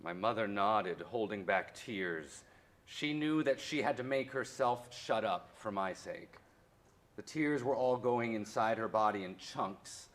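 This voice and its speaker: An actor in a staged reading, playing a wounded history professor who relives his childhood loss in reflective, deliberate storytelling. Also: lowish voice, a bit bright. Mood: sorrowful, reflective. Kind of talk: dramatic monologue in a staged reading.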